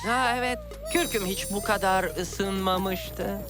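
A woman voicing an excitable, timid talking cat sidekick in a children's cartoon dub. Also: mid-range voice, slow pace, close to an everyday voice. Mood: puzzled. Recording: loud children's music behind.

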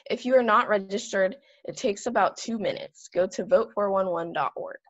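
Young woman, husky voice, reads school notices, dull recording. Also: mid-range voice, moderate pace. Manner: reading a script. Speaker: student announcer. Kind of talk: school morning announcements.

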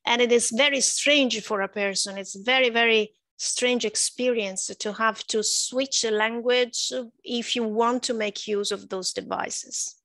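A middle-aged woman with an Italian accent, a native Italian speaker, speaking on a panel over a video call with a headset, measured and thoughtful with frequent hesitations. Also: a little high, fairly even intonation, a bit bright, no echo. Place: indoor home office.

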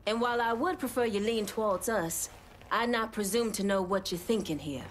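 An actress playing a shrewd, poised female gang boss in a crime game, measured and persuasive. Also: mid-range voice, slight background noise, lightly acted.

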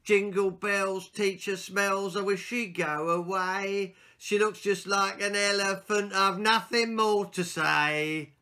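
Storybook rude schoolboy, played by a man: raised pitch, forceful, showing off with loud sing-song cheek. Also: steady tone, slow, few pauses, clean tone, full character voice. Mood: sing-song taunting.

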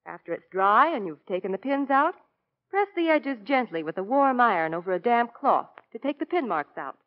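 A woman's voice: fairly high pitch, very animated, normal speed. A woman spokesperson delivering a scripted 1940s radio commercial. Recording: dull 1940s radio broadcast.

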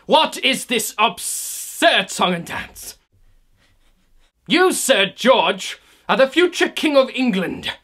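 A man playing a pompous, stuffy royal tutor who scolds indignantly and breaks into shouts. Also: very high voice, sing-song, slow pace, voice pushed up, heavily acted. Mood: stern scolding.

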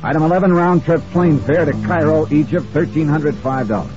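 A man's voice: on the high side, a little fast, lightly acted. Guy playing a hard-boiled american radio detective from the 50s, wry and clipped, music behind, dull old recording. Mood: wry, matter-of-fact. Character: hard-boiled, wry male insurance investigator, first-person narrator. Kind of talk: 1950s radio detective drama acting.

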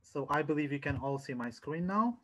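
A man, giving an informal online presentation as a sales coach in a hesitant, halting way, repeating words, on a headset. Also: a little high, lively intonation, fairly bright tone. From Portugal. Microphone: headset on a video call.

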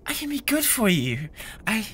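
A man's voice: high-pitched, very animated, crowd noise. A British man playing an obsessive, unhinged young yandere classmate in an intimate, menacing ASMR roleplay. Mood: desperate, angry. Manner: menacing, intimate, slow and theatrical.

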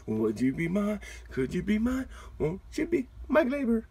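Animated man opening cards, wide-swinging high voice. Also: moderate pace, vocal fry, husky. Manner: exuberant, exclaiming and whooping, playful. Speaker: host of a trading card opening channel. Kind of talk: excited casual card-opening commentary. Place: indoors, at a table with cards.

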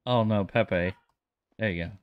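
Low-voiced, easygoing man. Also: unhurried, clear, resonant tone, no room sound, narrow, tinny audio. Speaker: role-player playing a police lieutenant. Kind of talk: casual improvised in-game role-play chat.

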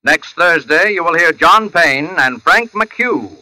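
A man's voice: on the high side, lively intonation, slow pace, background noise. A man announcing in formal, declamatory 1940s radio style.

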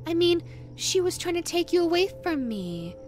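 A woman playing an obsessive, possessive yandere in a rabbit costume in an audio roleplay, cooing in a drawn-out way that swings from syrupy to threatening. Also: extremely high pitch, sing-song, soft background music. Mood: coldly casual. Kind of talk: dramatic audio roleplay addressed to the listener.

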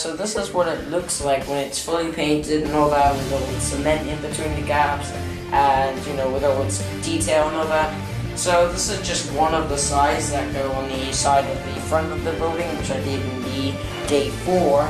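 A man talks through his craft project in a loose, rambling way, full of fillers, his voice a little croaky and his pitch barely moving. He is recorded on a camera mic in an echoey room. A soundtrack plays clearly in the background. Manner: rambling, hesitant, many fillers. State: slightly croaky voice. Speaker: stop-motion hobbyist vlogger. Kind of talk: casual craft vlog narration. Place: indoor room at a work table. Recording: echoey room with music playing behind.

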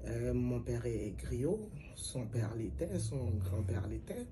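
A middle-aged woman from Mali, a singer and griot, giving measured, reflective interview answers with pauses. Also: extremely low pitch, very animated, background noise. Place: plain indoor room, seated at a table.

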